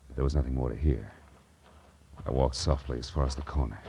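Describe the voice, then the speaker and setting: An actor with an American accent playing a wisecracking, tough private detective in 1940s radio noir, wry and slangy. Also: male voice, very deep voice, brisk, dark tone, a little hiss or hum. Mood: tense, grim. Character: hard-boiled, wisecracking male private detective.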